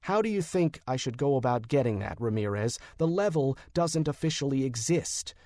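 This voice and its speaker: Male reader as sardonic detective hero: determined, matter-of-fact, mid-pitched, very dry recording. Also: animated, moderate pace, dark tone, no echo, lightly acted. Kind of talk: audiobook dialogue.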